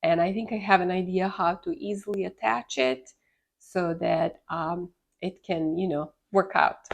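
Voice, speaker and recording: Female vlog host, Serbian accent, lower register with creaky fry, stuffy sinus congestion, casual delivery. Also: fairly even intonation, a little slow. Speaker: host of a succulent gardening channel.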